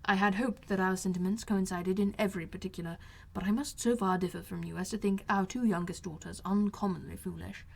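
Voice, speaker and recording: A woman's voice: mid-range voice, creaky. Woman acting out a dry, sardonic middle-aged father. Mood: teasing irony. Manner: deadpan, measured.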